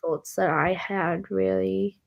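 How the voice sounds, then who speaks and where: A woman's voice: medium pitch, fairly even intonation, slow pace, vocal fry, highs cut off. Woman co-hosting a casual book chat. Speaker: book podcast co-host.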